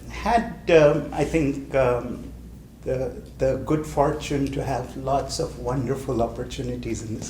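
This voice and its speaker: A man from India in his late forties, telling his own immigration story at a library community panel in a relaxed, halting way with many fillers and small laughs. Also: medium pitch, echoey room, slight background noise. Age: late 40s. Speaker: panel speaker. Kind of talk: personal story at a community event. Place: library event room.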